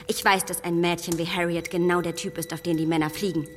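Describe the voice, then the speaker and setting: An actress playing a clever, headstrong young matchmaker in a radio play, arguing in a spirited, defiant way. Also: female voice, fairly low pitch, level tone, fast talker, in a large hall, a little hiss or hum, close to an everyday voice. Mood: sarcastic.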